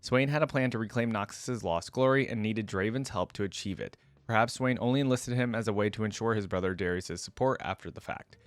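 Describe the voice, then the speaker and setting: A man's voice: fairly low pitch, fairly quick, few pauses, dry recording. A man doing casual reaction commentary, reading text aloud and adding his own remarks. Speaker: host of a gaming reaction channel.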